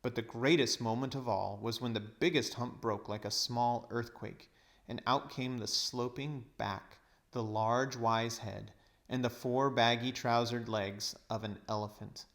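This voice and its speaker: Man narrating a storybook, mid-pitched. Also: steady tone, a little slow, a bit bright. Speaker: read-aloud narrator.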